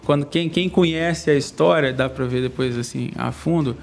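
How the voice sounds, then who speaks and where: A young man from Brazil, a podcast guest, telling his life story in a fast, slangy, candid way. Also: fairly high pitch, creaky. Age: young adult. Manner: fast, slangy, candid, laughs between lines. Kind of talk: casual storytelling interview.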